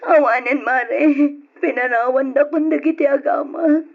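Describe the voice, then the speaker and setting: A remorseful wife begging forgiveness in an Ilocano-accented radio drama. Her voice is extremely high and full of desperation. The old recording sounds dull. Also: unhurried, music in the background. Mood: despairing, remorseful. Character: remorseful unfaithful wife and mother, pleading, desperate.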